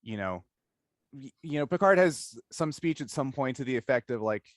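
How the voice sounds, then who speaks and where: A man's voice: mid-range voice, animated, moderate pace, no echo, clean studio sound. A man hosting a casual discussion interview, thinking aloud analytically in long stretches and often checking in with "right?". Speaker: interviewer, podcast host.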